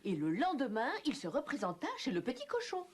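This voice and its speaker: A woman's voice: a little high, very animated, brisk, background noise. A woman narrating a scripted French children's fairy tale in storybook style. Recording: clear music behind the voice.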